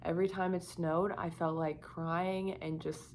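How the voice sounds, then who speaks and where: Croaky young woman vlogging candidly. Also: fairly low pitch, little pitch movement, unhurried, darkish tone. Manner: rambling, filler-heavy, candid. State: croaky, slightly sick voice. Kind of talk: casual confessional talk to camera.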